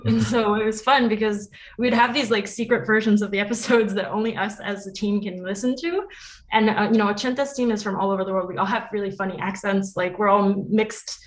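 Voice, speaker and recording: Chatty woman in a casual interview. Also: mid-range voice. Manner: chatty, laughs often. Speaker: interview guest, podcast producer. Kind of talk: casual, animated interview chat.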